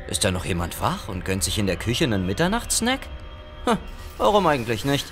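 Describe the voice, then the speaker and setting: Casting: male actor as jumpy polar scientist, self-muttering, wildly varied pitch, audio thriller with music behind. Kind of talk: German audio drama acting.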